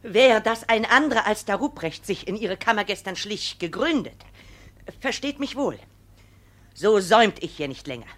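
A woman's voice: mid-range voice, lively intonation, moderate pace, a bit bright. An actress playing a stern, indignant mother bringing a complaint in a classic comedy radio play, emphatic and scolding. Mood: bitter scolding.

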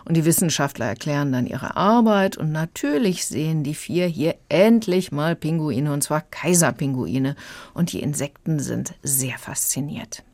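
A woman's voice: sits low, expressive, normal speed, a little mellow. A woman giving a radio review of children's audio, retelling and judging it in plain, explanatory words.

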